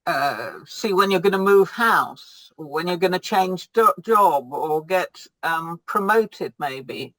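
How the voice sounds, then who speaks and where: Slow, hesitant woman astrologer on a video call. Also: lowish voice, animated, a bit bright, no room sound, poor audio. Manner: hesitant, with many ums and restarts. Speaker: guest astrologer. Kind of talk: answering questions in a video-call talk.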